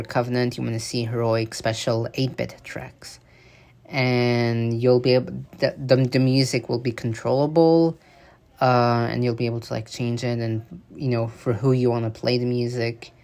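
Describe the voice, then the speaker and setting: A man's voice: medium pitch, gravelly, a little mellow. A man giving casual, loose commentary on new game update features, with many fillers and restarts. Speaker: gaming news video creator. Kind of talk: casual explanatory commentary over gameplay footage.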